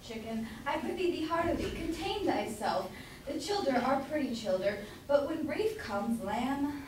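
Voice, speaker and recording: Across a very echoey room, a woman plays a blustering citizen husband in an old stage comedy. The voice is high and swings widely, suspicious yet doting. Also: lively intonation, a little slow, a little mellow. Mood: fond, impatient. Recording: echoey room from far off, faint noise.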